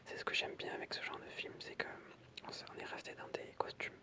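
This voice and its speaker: An ASMR creator whispering in French, reading aloud softly with informal, hesitant asides. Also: fast talker.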